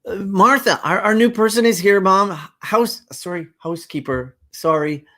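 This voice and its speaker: A man voicing a young girl who is eager and fidgety, stammering in a raised voice. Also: normal speed. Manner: stammering, high and excited.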